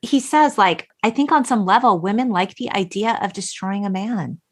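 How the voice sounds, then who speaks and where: A woman's voice: medium pitch, normal speed. Woman podcast host, casual film chat.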